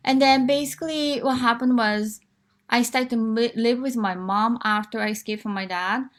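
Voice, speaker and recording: A woman's voice: fairly high pitch, fairly even intonation, moderate pace. A woman, from Japan, frankly telling her life story as a guest in a live online interview, with fillers and restarts. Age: 40s.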